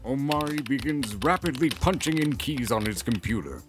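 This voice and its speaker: A man's voice: medium pitch, big pitch swings, moderate pace. A man narrating a scripted audio fantasy story in a dramatic storytelling style. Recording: music bed under the voice.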